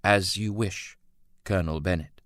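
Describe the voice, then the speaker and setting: A man voicing a calm, courteous but stubborn young gentleman painter with measured, polite speech, in an audiobook novel. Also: mid-range voice, no echo, clean studio sound, voice pushed up, close to an everyday voice. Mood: resigned.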